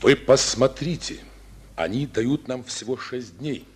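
An actor playing a stern, sharp-witted male army commander in an old radio drama, ironic and commanding. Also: mid-range voice, unhurried, husky. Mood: scornful, analytical.

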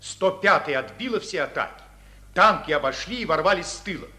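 A high, tense field officer. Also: male voice, expressive, brisk, sharp tone, full character voice. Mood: urgent reporting. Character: anxious male field officer reporting by line.

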